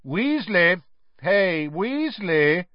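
A man's voice: medium pitch, wildly swooping pitch, takes it slowly, no room sound, voice pushed up, exaggerated voice. A man doing a sneering schoolboy bully. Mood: taunting. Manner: loud, crowing, mocking. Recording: dry, slightly dull studio recording.

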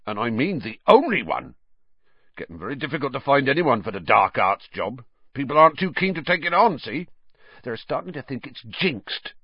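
A man voicing a huge, gruff but kindly male gamekeeper who talks in a blunt growl. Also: mid-range voice, big pitch swings, moderate pace, no echo, dull audio, pitched above the performer's own voice.

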